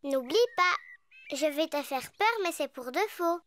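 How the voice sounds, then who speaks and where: A performer voicing a bossy, excitable little girl pig in a French children's cartoon, perky and cheeky. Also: sits high, a little slow, exaggerated voice.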